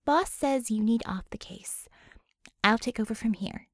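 A woman plays a female medic in charge, from an audiobook story. She is motherly but bossy, with a wry, salty informality and a fairly high voice, recorded very dry. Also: unhurried, no echo. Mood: reassuring, brisk.

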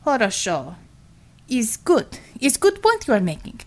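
A proud Russian woman commissioner from an audiobook, as acted by a female narrator. She has a Russian accent and lectures in blunt, clipped broken English. Her temper is sharp and her pitch moves with lively swings. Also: unhurried. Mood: conceding.

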